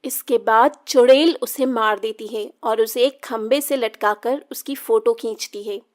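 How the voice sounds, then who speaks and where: Woman, high-pitched voice, unhurried pace, scripted storytelling, children's tale narration.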